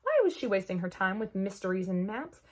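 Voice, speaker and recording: A woman reading a children's story aloud expressively, with dramatic emphasis and hushed moments. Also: mid-range voice, sing-song, a little fast. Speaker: children's book read-aloud host.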